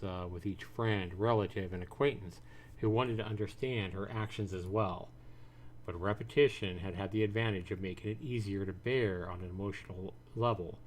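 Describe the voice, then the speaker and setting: Low-voiced man reading game narration. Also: moderate pace. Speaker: streamer. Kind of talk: reading visual novel narration aloud. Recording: music behind the voice. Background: guitar music.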